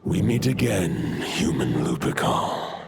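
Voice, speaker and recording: An actor playing an ancient, solemn wolf spirit in a fantasy video game, with a deep gravelly voice and formal, archaic phrasing. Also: male voice, slow pace, very noisy, exaggerated voice. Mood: grave, weary.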